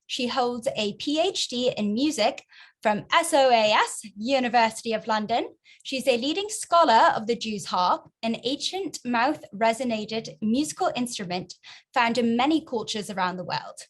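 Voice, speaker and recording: Slightly high-voiced female moderator. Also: animated. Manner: measured, formal. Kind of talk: reading introductions.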